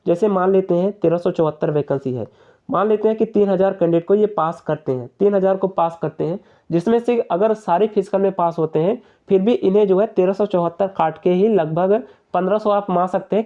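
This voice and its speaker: A man's voice: high voice, fairly quick, narrow, tinny audio. A young man, explaining informally to viewers in an earnest, repetitive, persuasive way. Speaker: exam-prep YouTuber.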